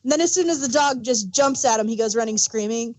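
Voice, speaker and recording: A woman's voice: a little high, fast talker, slight background noise. A woman co-hosting a casual fan discussion, rambling and searching for words as she gives emphatic examples. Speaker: podcast co-host.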